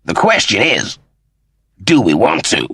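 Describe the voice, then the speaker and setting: A man's voice: medium pitch, wildly swooping pitch, measured pace, vocal fry, pushed and bright, breathy edge, no room sound, studio quality, voice pushed up, exaggerated voice. Man as a flippant, slangy humanoid cat.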